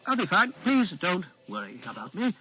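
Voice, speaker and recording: A man's voice: high voice, wildly swooping pitch, normal speed, pushed and bright, pitched above the performer's own voice, heavily acted. A timid, clumsy chamberlain with a lightly raised voice, as a man puts him on. He stammers politely through his lines in this storybook reading. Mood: anxious. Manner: hesitant, polite.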